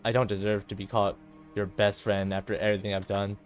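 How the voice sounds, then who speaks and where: A man's voice: lowish voice, flat delivery, fast talker, soft background music, close to an everyday voice. Man as a subdued, guilt-ridden teenage girl. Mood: remorseful. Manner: subdued, hesitant, self-blaming. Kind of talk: dramatic visual novel voice acting.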